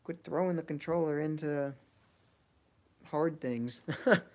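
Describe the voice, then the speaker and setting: A man's voice: on the high side, unhurried. A man in his early twenties chatting casually on a livestream, answering viewers in a laid-back, slangy way. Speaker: game streamer.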